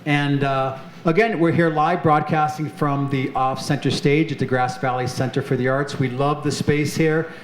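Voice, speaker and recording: A man's voice: a little high, steady tone, moderate pace, hardly any pauses. A man hosting a live show on stage, chatty and upbeat, with many fillers. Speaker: host. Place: off-center stage of an arts center, live audience. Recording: echoey room with faint noise.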